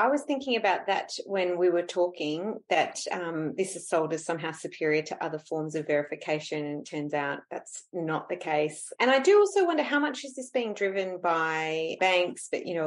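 A woman's voice: lowish voice, normal speed, few pauses. A woman speaking thoughtfully in a casual panel discussion. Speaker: podcast panelist.

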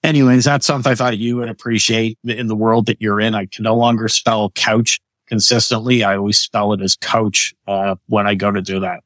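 A man speaking as a guest on a podcast, relaxed and easygoing, laughing at himself as he chats. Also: fairly low pitch, a little fast, few pauses, no room sound. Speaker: podcast guest, business coach. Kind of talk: casual interview chat.

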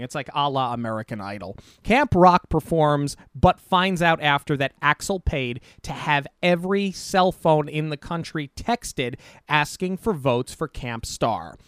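Male podcast co-host, slightly high, opinionated and rambling, dry booth sound. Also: dry recording. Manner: rambling, self-correcting, opinionated. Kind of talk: casual movie review chat.

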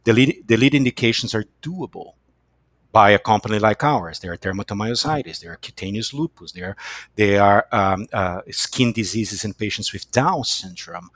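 Brazilian man, halting and emphatic, up close. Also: on the low side, fairly bright tone, no room sound. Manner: halting, repeats words emphatically. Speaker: guest, biotech company chief executive. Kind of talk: unscripted podcast interview.

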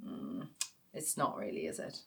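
Grieving sister, wry and dramatic, dark humour, very varied intonation, audio drama. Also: female voice, very deep voice, big pitch swings, measured pace, gravelly, full character voice. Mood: tender, sad. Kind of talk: naturalistic audio drama acting, comedy and pathos.

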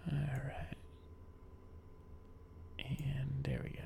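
A man talks in a soft, unhurried way on a chill music stream, drifting through fillers and half-finished thoughts. His voice carries a heavy gravelly fry, and a faint hiss sits under the recording. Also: completely flat delivery. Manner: soft, low-key, rambling with many fillers. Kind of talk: relaxed live-stream chat while making music.

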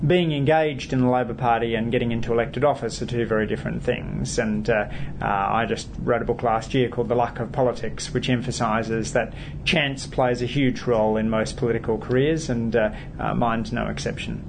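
A man, a politician answering interview questions in a measured way with frequent hesitant fillers. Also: medium pitch, steady tone, gravelly, noisy background.

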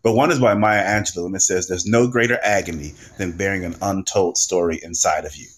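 A man with a fairly low voice speaks as a guest on a podcast panel. His delivery is reflective and deliberate, building his points in measured phrases. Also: fairly even intonation.

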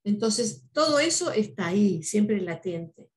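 Earnest woman, Spanish first language, mid-pitched, thoughtful podcast delivery with frequent "¿no?". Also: big pitch swings, measured pace. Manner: earnest, speaks with many "¿no?" tags and "eh" fillers. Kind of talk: reflective podcast talk.

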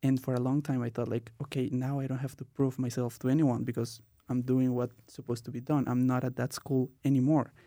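A man's voice: mid-range voice, level tone, fairly quick, hardly any pauses, mellow, no echo, studio quality. A man in his twenties from Colombia, hosting a student podcast and telling his own story with many "uh" and "um" fillers. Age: 20s. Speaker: podcast host. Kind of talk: casual podcast talk.

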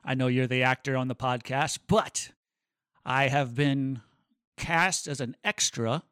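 A man co-hosting a casual podcast, speaking at an average pitch. He rambles enthusiastically and jokes around like a musician among friends. The sound is dry and free of room echo. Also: fairly even intonation, measured pace, a bit bright, clean tone.

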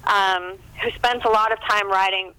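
A woman's voice: medium pitch, steady tone, normal speed, sharp tone, smooth, no room sound, poor audio. A woman talking casually as a guest author in an interview, chatty and enthusiastic. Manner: chatty, enthusiastic about books.

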